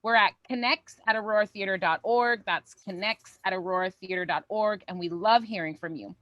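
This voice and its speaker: A woman's voice: mid-range voice, normal speed, no echo, lo-fi sound. A woman co-hosting a theatre company's online show, giving casual, upbeat announcements to viewers in an informal way.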